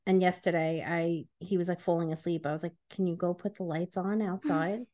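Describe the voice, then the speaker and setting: A woman's voice: on the low side, fairly even intonation, brisk, warm tone. A woman podcast co-host with a blunt, opinionated way of talking, chatting casually and retelling conversations. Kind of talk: casual chat.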